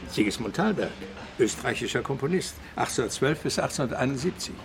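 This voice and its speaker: An actor in a radio crime drama playing a skeptical, pedantic police commander, dry and argumentative. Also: male voice, medium pitch, lively intonation, fast talker, vocal fry, a little hiss or hum. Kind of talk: German radio play acting. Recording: studio drama with music behind.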